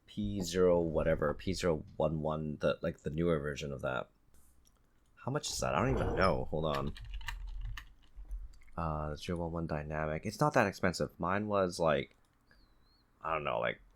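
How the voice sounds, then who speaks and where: A man's voice: low voice, normal speed, long pauses, fairly bright tone. A man chatting casually as a podcast co-host, informal and given to swearing. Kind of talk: casual chat.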